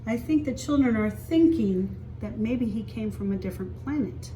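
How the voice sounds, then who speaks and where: A woman reading a picture book aloud as a story time teacher, expressively, pausing to explain and ask young children simple questions. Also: fairly high pitch, mellow. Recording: echoey room, distant mic, faint hiss.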